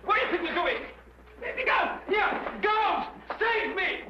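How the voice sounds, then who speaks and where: An actor playing an excitable, cheeky showman in an old-time detective drama, chattering and theatrical. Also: extremely high pitch, a little slow, crowd noise. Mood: frantic indignation. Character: Harry Crocker, excitable cheeky showman protesting his innocence. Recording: muffled, noisy old radio-era recording.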